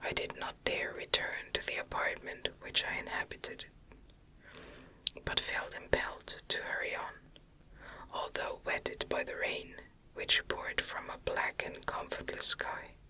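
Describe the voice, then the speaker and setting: A woman whispering an ASMR reading of a classic novel in a soft, careful, deliberate delivery, close to the microphone. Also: rough recording. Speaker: audiobook narrator.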